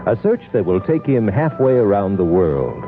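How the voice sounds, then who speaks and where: A man's voice: lowish voice, very animated, moderate pace, narrow, tinny audio. A man narrating a radio commercial as a dramatic scripted story, with music behind him. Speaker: commercial announcer.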